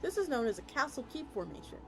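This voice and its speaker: A woman's voice: high voice, sing-song, quick pace, fairly bright tone. A woman streamer reading a story aloud expressively, sometimes stumbling over words and restarting lines. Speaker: VTuber streamer. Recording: music playing under the voice. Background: music.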